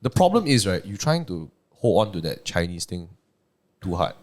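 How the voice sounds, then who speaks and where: A young man with a Malaysian English accent, co-hosting a casual podcast and arguing his point with animated energy. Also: sits low, normal speed. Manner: animated, argumentative, says "bro" and "lah". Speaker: podcast co-host.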